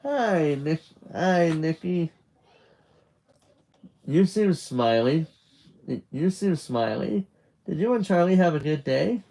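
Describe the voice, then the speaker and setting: A man voicing a kindly, attentive mother of a teenage boy in a story, gentle and chatty. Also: on the high side, animated, slow pace, vocal fry, pauses often, pitched above the performer's own voice, heavily acted. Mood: pleased, curious.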